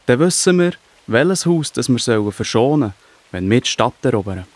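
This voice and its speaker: A man's voice: mid-range voice, sing-song, moderate pace, no echo. A man with a Swiss German accent playing an earnest, devout spy in a children's Bible audio drama, speaking plainly. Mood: earnest, grateful. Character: earnest, devout male spy serving God's people.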